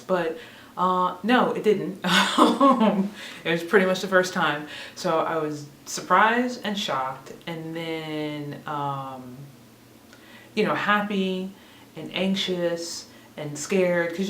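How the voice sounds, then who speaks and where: A woman's voice: low-pitched, fairly bright tone, distant mic. A woman in her thirties talks casually and rambles, often laughing at herself. She sits a little way from the mic in an echoey room. A faint hiss runs under her voice. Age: 30s. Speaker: vlogger. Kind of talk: casual chat to camera.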